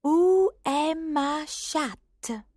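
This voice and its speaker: A woman's voice: sits high, extremely slow, darkish tone, no echo, clean studio sound. A woman voicing a curious young girl in a storybook, speaking expressively and politely. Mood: puzzled. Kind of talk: storybook character acting.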